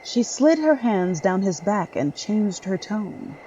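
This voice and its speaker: A woman's voice: mid-range voice, very animated, slow pace, warm tone, lo-fi sound, a little hiss or hum. A woman reading scripted dramatic narration for a horror story.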